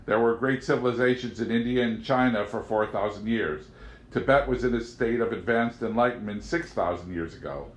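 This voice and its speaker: Man voicing a gently defiant young woman, roomy sound. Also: mid-range voice, brisk, lightly acted. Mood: composed. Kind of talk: audiobook character voice. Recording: roomy, echoing home recording.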